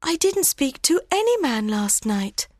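A woman's voice: high voice, sing-song, a little slow, darkish tone, no echo, studio quality, voice pushed up. A woman voicing a frightened, innocent young bride in an audiobook, faint and earnest. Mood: pleading. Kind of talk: dramatised audiobook reading.

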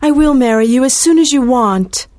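An actress playing a timid, lovesick young heiress in a dramatized audiobook, hesitant and pleading. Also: female voice, sits high, lively intonation, moderate pace, a little mellow. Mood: earnest, devoted.

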